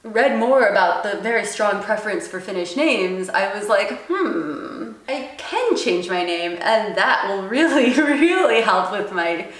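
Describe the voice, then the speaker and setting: A woman from the United States with an American accent, a guest telling her story in a casual interview, animated and laughing often as she talks. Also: mid-range voice, a little slow, few pauses, echoey room.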